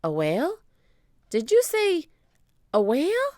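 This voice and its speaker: A woman's voice: sits high, wildly swooping pitch, takes it slowly, darkish tone, dry recording, studio quality, voice pushed up, exaggerated voice. A woman voicing an earnest, easily startled young boy in a children's storybook.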